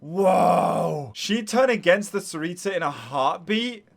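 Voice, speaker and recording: A man giving casual live-stream reaction commentary, excitable and thinking out loud to his viewers. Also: sits high, slow, gravelly. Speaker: streamer reacting to game voice lines.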